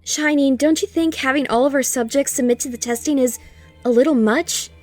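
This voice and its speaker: A woman plays a worried young royal pony in a cartoon audio drama. She is high-pitched, gentle and earnest, speaking with polite concern over clear background music. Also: brisk, no echo, natural voice.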